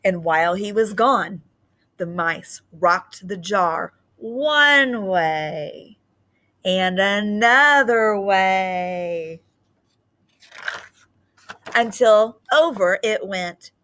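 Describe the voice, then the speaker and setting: Bright-voiced woman teacher, unhurried. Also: mid-range voice, slight background noise. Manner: simple explanatory phrasing, expressive when reading. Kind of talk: children's read-aloud and lesson.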